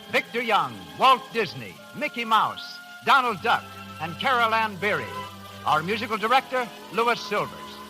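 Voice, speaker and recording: High-voiced 1930s radio announcer. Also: male voice, sharp tone, poor audio. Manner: polished, declamatory. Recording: old 1930s radio broadcast.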